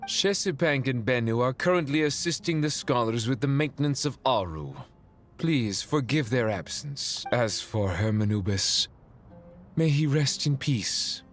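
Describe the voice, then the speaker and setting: A man's voice: mid-range voice, animated, measured pace, lightly acted. Dignified, stately royal retainer played by a man. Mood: solemn. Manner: formal, stately. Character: dignified, loyal male servant of an ancient king. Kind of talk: video game dialogue. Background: background music.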